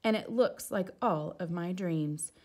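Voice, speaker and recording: A woman voicing a proud, contented man from a picture book, speaking in firm, measured declarations. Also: lowish voice, a little mellow.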